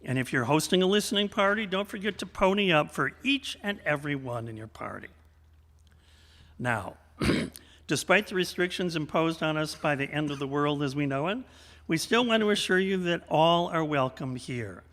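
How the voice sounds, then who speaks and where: Guy hosting live radio theater, bit high voice, folksy n tongue in cheek, big echoey church. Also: expressive, moderate pace, fairly bright tone. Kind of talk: live stage hosting, storytelling. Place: large church sanctuary, indoors. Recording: reverberant church sanctuary.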